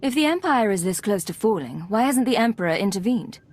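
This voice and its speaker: Casting: actress for female imperial agent, game drama. Medium pitch, cool, defiant, skeptical, clipped delivery. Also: expressive, brisk, darkish tone, lightly acted. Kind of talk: dramatic video game acting.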